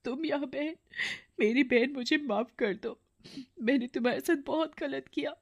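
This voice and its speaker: A woman's voice: high voice, normal speed, pitched above the performer's own voice. A woman voicing a jealous, scheming young girl with an exclaiming, emotional delivery in a cartoon moral story. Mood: tearful, remorseful.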